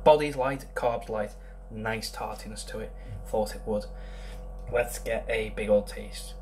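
A man's voice: lowish voice, fairly even intonation, unhurried, a little mellow, a little hiss or hum. A young man casually reviewing a beer at home, rambling with lots of ums. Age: young adult. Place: small room indoors.

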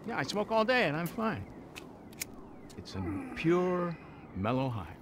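A man's voice: high voice, sing-song, measured pace, fairly bright tone, exaggerated voice. Earnest stoner activist man, swooping voice. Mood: mellow, salesmanlike. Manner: earnest, rambling, grandiose. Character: zealous stoner activist man campaigning for marijuana legalization. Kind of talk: video game cutscene acting. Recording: game scene with street noise.

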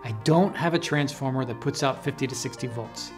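A man in his forties presenting as a host to camera, explaining in a measured, scripted way, recorded on a lapel mic. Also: mid-range voice, faint music behind. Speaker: host of an electronics build channel. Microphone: lapel microphone.

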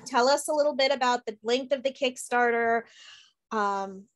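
A middle-aged woman with a high-pitched voice hosts a relaxed interview over a video call. Her way of talking is informal and enthusiastic, chatting from a room at home. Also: moderate pace. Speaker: interviewer, host. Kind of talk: casual interview chat. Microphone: video call computer microphone.

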